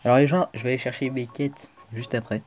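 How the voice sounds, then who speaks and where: A man's voice: medium pitch, steady tone, fast talker, a little mellow, slight background noise. A man hosting a casual let's play video, chatting to viewers as he plays, with a stuffed-up cold. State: congested with a cold. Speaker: gaming video host.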